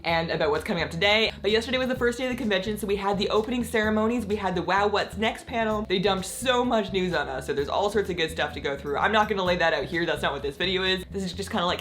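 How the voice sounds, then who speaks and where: Chatty, slightly croaky woman vlogger. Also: medium pitch, fairly bright tone. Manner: chatty, rambling, many "like" fillers, laughs often. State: slightly croaky, losing her voice. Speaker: gaming content creator. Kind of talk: casual vlog chat.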